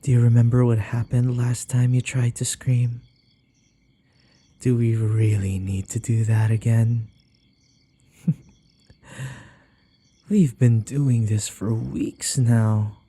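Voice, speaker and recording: A possessive male vampire played by a man for an ASMR roleplay. He speaks very slowly in a dark, velvety-soft register, heavy with breath and often sinking into a whisper. His manner is teasing and hypnotic, coaxing his captive. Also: mid-range voice, slightly rough. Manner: slow, coaxing, taunting.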